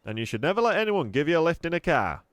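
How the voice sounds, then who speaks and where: A man's voice: a little high, very animated, quick pace, no room sound, pitched above the performer's own voice. A man voicing a jolly, chatty middle-aged male shopkeeper with eager salesman patter, in a dry recording. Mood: cheery salesy.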